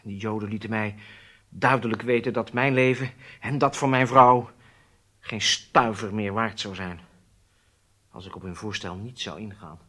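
An actor playing a weak-willed, cornered spy who confesses, hesitant and submissive, in a radio drama. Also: male voice, fairly low pitch, a little slow, a bit bright. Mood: ashamed, defeated.

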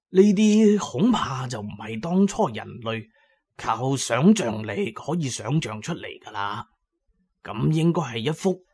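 A man's voice: medium pitch, darkish tone, breathy edge, heavily acted. A man with a Cantonese accent voicing a kindly, learned elderly professor who lectures patiently in an audio story.

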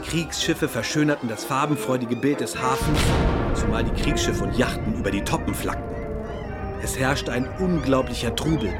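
An actor playing an imperial German naval officer, reminiscing in formal, descriptive narration for a radio drama. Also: male voice, mid-range voice, normal speed, loud music behind the voice, close to an everyday voice.

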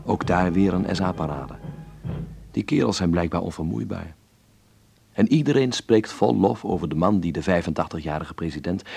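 A man's voice: low voice, gravelly, a little mellow, breathy edge, exaggerated voice. A man playing a wry, uneasy observer reading his letter aloud in an intimate, reflective radio drama monologue. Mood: fascinated, uneasy. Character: male letter writer in 1933 Berlin, wry, uneasy observer.

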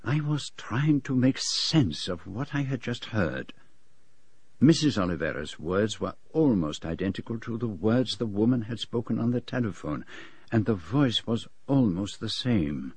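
An actor playing a courteous, observant middle-aged foreign detective in a radio drama, speaking and narrating in formal, measured, precise phrases. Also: male voice, lowish voice, expressive, darkish tone, husky, no room sound, exaggerated voice. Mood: reflective, intrigued. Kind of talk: BBC radio drama dialogue and first-person narration.

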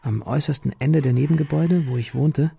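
An actor playing an adventurous young schoolboy hero, narrating his memories in a measured, literary radio drama style. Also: fairly high pitch, creaky, mellow, slightly rough, full character voice. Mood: reflective. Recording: studio radio drama with music behind. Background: music.

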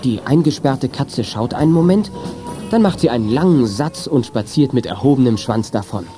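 A man's voice: mid-range voice, animated, moderate pace, over music, natural voice. A man narrating a children's detective radio play in scripted storytelling prose. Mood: calm storytelling.

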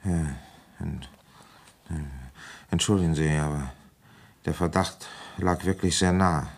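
A man's voice: sits low, steady tone, slow pace, vocal fry, full character voice. A man playing a weary, suspicious police inspector with a probing manner in a German radio play. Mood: subdued, weary. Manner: probing, insistent. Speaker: detective and first-person narrator.

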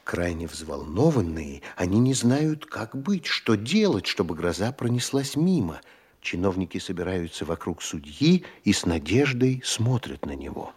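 A man narrating the stage directions of a classic radio play in a measured, expressive manner. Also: low voice, slightly rough.

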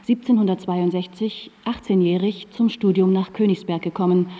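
A woman's voice: mid-range voice, steady tone, moderate pace, warm tone. A woman narrating a radio drama in a composed, factual, scripted documentary style. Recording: reverberant hall sound.

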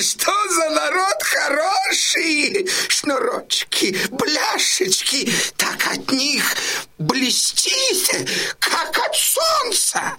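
A man playing a frightened, fawning go-between in an audio drama, stammering, repeating himself and wailing his obsequious flattery. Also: very high-pitched, exaggerated voice. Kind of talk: theatrical audio drama acting.

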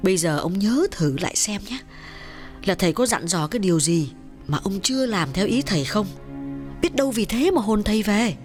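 A sharp-tongued middle-aged wife, as a male storyteller puts her on, pitched well above his own voice, who nags and scolds in quick, impatient runs. Faint music sits under the audiobook reading. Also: lively intonation. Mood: fretful. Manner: scolding, rapid, exasperated nagging.

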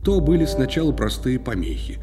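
A man narrating a fairy tale in verse, theatrically, with lyrical, measured storytelling. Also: medium pitch, sing-song. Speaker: narrator of a children's musical audio play. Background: music.

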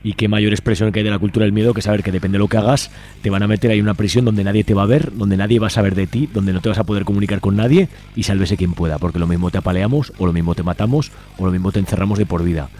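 Fast-talking man with an Aragonese Spanish accent. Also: fairly low pitch, steady tone, dark tone. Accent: Spain, Aragonese. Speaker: radio show host interviewing a guest. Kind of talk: casual community radio interview.

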